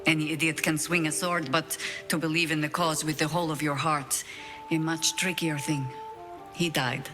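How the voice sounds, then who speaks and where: An actress playing a battle-worn older woman druid in a video game, proud, wry and grieving, speaking in a measured, eloquent, dramatic way. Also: sits low, flat delivery, soft background music, natural voice. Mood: bitter grief.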